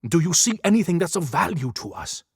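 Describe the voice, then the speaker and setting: A man voicing a stern older male leader, gruff and authoritative, in a cinematic audiobook. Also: a little high, very animated, a little fast, no echo, studio quality, voice pushed up, full character voice. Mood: businesslike.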